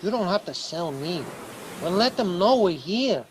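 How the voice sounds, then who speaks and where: A noisy radio drama recording of a man playing a fretful homeowner. His pitch jumps around a lot as he speaks in an anxious, fussy, questioning way. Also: big pitch swings, measured pace. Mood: doubtful. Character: fretful, easily rattled male owner of haunted house.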